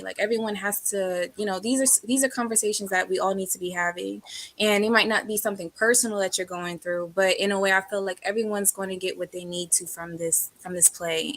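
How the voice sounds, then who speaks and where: A woman, an actress guest on a talk show, answering earnestly and rambling with many fillers. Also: medium pitch. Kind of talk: casual interview answer.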